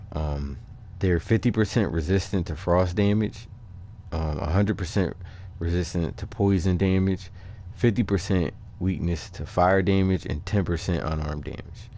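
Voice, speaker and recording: Low-voiced man, casual gaming commentary. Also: darkish tone. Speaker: gaming video host. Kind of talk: casual game build commentary. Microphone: voice-over on a microphone over game capture.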